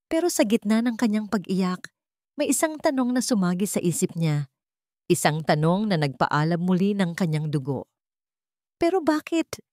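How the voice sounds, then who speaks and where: Filipino woman, dramatic story narration, low animated voice, mellow, scripted. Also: no room sound, clean studio sound.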